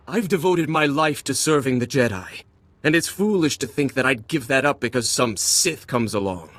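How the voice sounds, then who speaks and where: An actor playing a devoted, scornful Jedi in a dramatic video game scene. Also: male voice, medium pitch, fairly bright tone, no echo. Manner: scornful, earnest. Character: defiant, devoted male Jedi facing a Sith.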